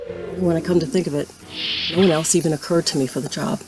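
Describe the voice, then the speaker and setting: A woman's voice: low-pitched, fairly even intonation, fast, over music, natural voice, rough recording. A woman playing a confident, newly appointed starship captain in a science fiction audio drama, composed and authoritative. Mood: reflective, determined.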